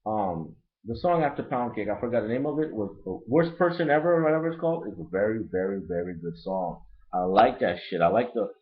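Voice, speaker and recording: A man with a Haitian accent who grew up in Brooklyn, ranting bluntly and profanely in a casual, rambling album review. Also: a little fast. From Brooklyn, New York. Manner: profane, blunt and rambling, lively pitch swings. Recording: lo-fi dull recording.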